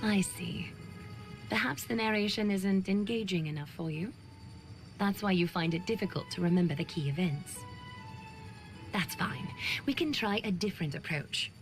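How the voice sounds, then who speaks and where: A woman playing a composed, courteous and attentive hostess in a video game, with formal, measured phrasing. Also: medium pitch, soft background music, close to an everyday voice. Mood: composed, solicitous.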